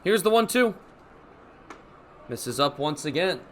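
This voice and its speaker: A young man calling baseball play-by-play for radio in crisp, descriptive phrases, as live sports commentary. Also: on the high side, takes it slowly, slight background noise. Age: young adult. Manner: crisp, descriptive phrases with excited calls on big plays. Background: ballpark ambience.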